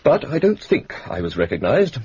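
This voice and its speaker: An actor with an English accent playing an older, brisk, pompous and secretive senior government official in a radio drama. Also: male voice, medium pitch, huge pitch swings, darkish tone, husky, no echo, highs cut off, heavily acted. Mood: fussy, flustered. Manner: clipped, self-important, harrumphing. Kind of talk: British radio drama acting.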